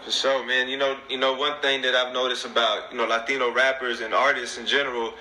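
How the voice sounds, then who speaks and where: A man's voice: mid-range voice, little pitch movement, brisk, pushed and bright, reverberant, a little hiss or hum, rough recording. A man hosting a casual podcast interview, easygoing and supportive, leaning on filler phrases. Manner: easygoing, repeats "you know what I'm saying". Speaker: podcast host.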